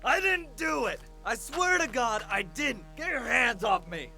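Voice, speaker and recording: A man acting a desperate murder suspect who shouts pleas of innocence in an audio drama. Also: extremely high pitch, lively intonation, unhurried, soft background music, heavily acted. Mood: frantic, desperate. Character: frantic murder suspect protesting his innocence.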